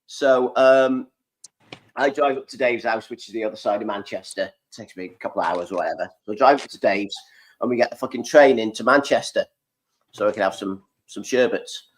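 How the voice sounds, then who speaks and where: A man's voice: fairly low pitch, a little fast, poor audio. A man in his forties from North Wales with a border Welsh accent, hosting a casual video-call chat and telling stories with plenty of swearing. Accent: North Welsh, near the English border. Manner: swearing, storytelling, mimics voices in anecdotes. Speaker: host. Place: home room with a computer screen behind. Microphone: webcam video call.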